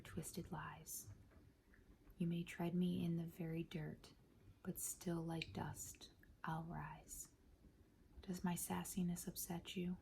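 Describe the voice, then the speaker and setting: A woman speaking softly in a breathy half-whisper, gentle and measured, as an ASMR host reading to listeners. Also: on the low side, a little hiss or hum. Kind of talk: soft-spoken ASMR poetry reading.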